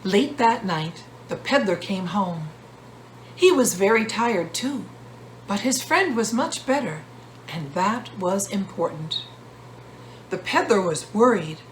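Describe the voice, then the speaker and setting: A woman reading a children's storybook aloud in an expressive storyteller's way, with deliberate pauses. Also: mid-range voice, slight background noise. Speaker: author reading own storybook. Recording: roomy echo, loud guitar music. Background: guitar music.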